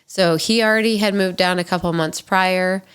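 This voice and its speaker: Female podcast host, 20s, nasal and congested, low pitch, casual candid storyteller. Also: fairly even intonation, normal speed, fairly bright tone, clear, resonant tone. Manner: candid, chatty, frequent ums and likes. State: nasal, stuffy from allergies.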